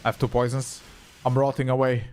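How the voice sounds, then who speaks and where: A young man streaming a game, with Croatian as his first language. He chats loosely with his viewers, answers their questions as he plays, and swears casually. His voice sits at an ordinary middle pitch for a man. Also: monotone, fairly quick, a little mellow, slight background noise. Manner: chatty, reads and answers chat, swears casually. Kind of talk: casual live-stream chat while gaming.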